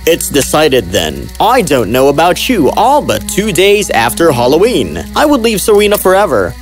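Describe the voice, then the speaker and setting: A man playing an angry, indignant villager in an animated storybook, speaking in a confrontational, emphatic way. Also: fairly high pitch, moderate pace, soft background music. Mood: resolved. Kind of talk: animated storybook character acting.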